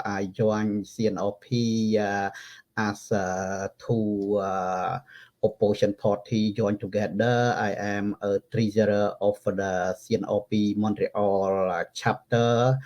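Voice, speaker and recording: A man, native Khmer speaker with a French accent, giving halting answers as a guest on a video call and chuckling often. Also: fairly low pitch, darkish tone.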